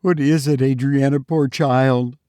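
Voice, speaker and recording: A man's voice: mid-range voice, fairly even intonation, measured pace, mellow, clean tone, no room sound, clean studio sound, natural voice. A man voicing a frightened, tearful wife and mother in a storybook reading, pleading in anxious exclamations.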